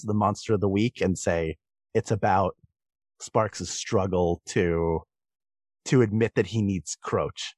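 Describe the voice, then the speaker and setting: A man's voice: fairly low pitch, no echo. Man in close, dry podcast discussion. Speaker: podcast guest. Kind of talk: casual discussion, analytical.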